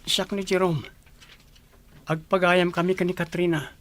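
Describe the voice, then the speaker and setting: A polite, earnest young man acted by a woman in an audio drama. The voice sits somewhat low and keeps a courteous tone. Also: little pitch movement, measured pace, close to an everyday voice.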